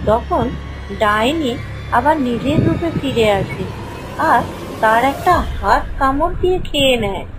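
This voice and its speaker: Lady narrating a cartoon tale, very high voice, takes her time, music behind. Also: noisy background. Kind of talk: scripted cartoon story narration.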